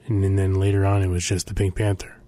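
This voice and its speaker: A man's voice: low-pitched, completely flat delivery, quick pace, mellow, faint music behind. A middle-aged man hosting, chatting casually between songs with rambling, sarcastic asides and frequent self-corrections. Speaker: host.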